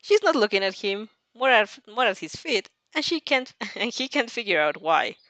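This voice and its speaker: A woman's voice: mid-range voice, very animated, moderate pace, fairly bright tone, no room sound. A woman casually reading fan fiction aloud and commenting as a reaction host, playful and exclamatory, easily breaking into giggles.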